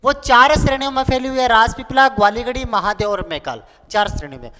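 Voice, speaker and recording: A young male teacher with a noticeably high-pitched voice drills exam material in a playful, colloquial manner. He repeats phrases over and over for his learners. The recording has long room reverb and some background noise. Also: a little fast, bright, forceful tone. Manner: repetitive, playful, colloquial, acts out pupils' questions. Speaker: online exam-prep geography teacher. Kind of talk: animated lesson with repeated drilling. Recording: noisy, echoing hall-like room with clicks.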